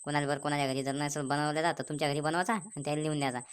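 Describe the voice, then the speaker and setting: A woman's voice: low-pitched, fairly even intonation, very fast, clean tone, lightly acted. A woman playing a skeptical, nagging villager in a comic cartoon, chattering warnings in a Vidarbha Marathi accent. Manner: chattering, admonishing.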